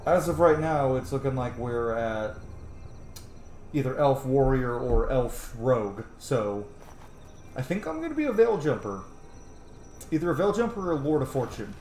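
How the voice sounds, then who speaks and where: A man's voice: mid-range voice, lively intonation, moderate pace, pauses often, a little mellow, a little hiss or hum. A man giving casual, rambling commentary as he plays a video game, musing aloud. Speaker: gaming streamer, let's-player. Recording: roomy echo, faint game music. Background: faint game music.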